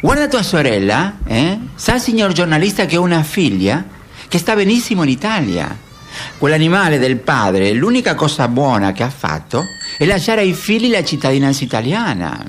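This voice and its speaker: A woman's voice: low voice, big pitch swings, moderate pace, slight background noise. A woman playing a scolding, pleading mother of a grown son in a radio play. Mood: scolding, exasperated. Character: lamenting, nagging Argentine mother of a grown son.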